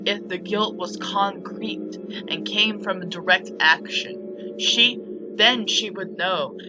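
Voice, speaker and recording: Female streamer reading a visual novel, slow and dramatic with offhand remarks, slightly lo-fi mic over guitar music. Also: mid-range voice. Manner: dramatic read-aloud, breaking off into casual asides. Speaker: video game streamer and narrator. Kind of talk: reading a visual novel aloud with side comments. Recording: dull lo-fi mic with music behind.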